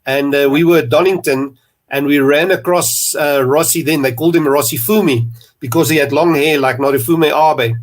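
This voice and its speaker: A man's voice: fairly high pitch, normal speed. A man with a South African accent hosting a podcast interview, rambling and restarting with plenty of fillers. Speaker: podcast host. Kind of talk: conversational interview.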